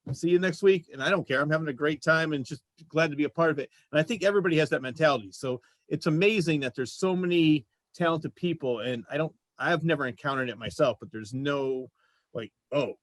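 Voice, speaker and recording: A man's voice: fairly high pitch, no room sound. A man hosting a casual panel interview, talking conversationally. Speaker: show host.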